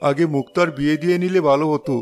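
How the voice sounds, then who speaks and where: A man voicing a plain-spoken family man in an animated moral story. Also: fairly high pitch, rapid-fire, close to an everyday voice. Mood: agreeable.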